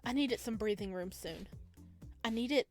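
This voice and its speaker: A woman with a fairly high voice hosts a visual novel playthrough. She reads and comments in an expressive, chatty manner, with music playing behind her. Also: measured pace. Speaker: gaming video host. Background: background music.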